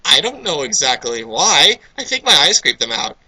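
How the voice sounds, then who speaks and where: A man's voice: a little high, sing-song, moderate pace, bright, forceful tone, slightly rough, heavily acted, poor audio. A man voicing a sad, lonely male phantom from a video game, speaking hesitantly and earnestly in a story reading. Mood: timid.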